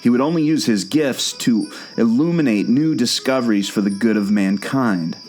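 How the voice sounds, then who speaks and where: A man's voice: mid-range voice, normal speed, a little mellow, faint music behind, lightly acted. A man playing a knowing, patient guide who tells park legends in a scripted audio drama. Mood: explanatory, dry. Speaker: storytelling guide.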